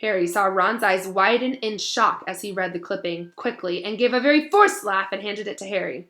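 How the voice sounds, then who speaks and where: A woman teacher speaking to children in an encouraging, affectionate way, in the expressive style of a storybook read-aloud. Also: medium pitch, moderate pace. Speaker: teacher reading aloud to pupils.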